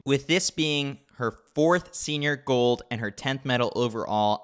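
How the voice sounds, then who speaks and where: A man with a clear, smooth voice narrates sports news from a script. He delivers it at a middling pitch, with dry, tongue-in-cheek asides. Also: fairly bright tone. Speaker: podcast reader of a sports newsletter. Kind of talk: scripted narration with wry commentary.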